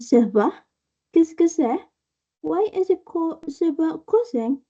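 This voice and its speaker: Young woman doing a confused french student, really high mellow voice, slow, muffled audio. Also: animated, exaggerated voice. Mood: puzzled. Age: young adult. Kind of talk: student classroom role-play. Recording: dull lo-fi online class audio.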